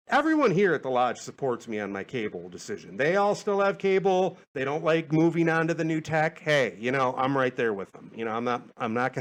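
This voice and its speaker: Man, higher-pitched voice, casual podcast host, rambling, hesitant, stammering repeats, self-deprecating.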